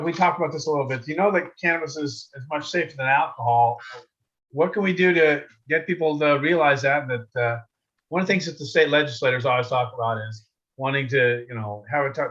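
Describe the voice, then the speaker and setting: Guy hosting an interview, bright higher voice, rambles his questions, muffled audio. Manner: asks long, rambling questions. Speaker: interview host. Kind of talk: casual conversational interview. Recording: dull lo-fi recording.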